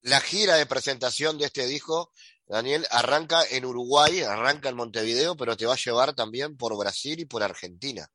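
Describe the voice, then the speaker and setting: A man's voice: mid-range voice, normal speed, sharp tone, dry recording. A man interviewing a musician, asking his questions hesitantly with frequent fillers. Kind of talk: radio-style interview questions.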